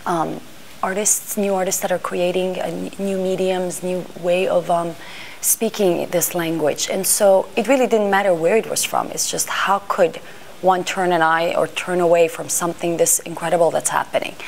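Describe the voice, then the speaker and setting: A woman's voice: lowish voice, fairly even intonation, moderate pace, vocal fry, slight background noise. A middle-aged woman from Iran, raised in Greece and the US, speaking thoughtfully in an interview, with frequent restarts and fillers as she searches for words. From Iran, raised in Greece and Washington D.C. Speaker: art fair panel organizer. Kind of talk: interview monologue.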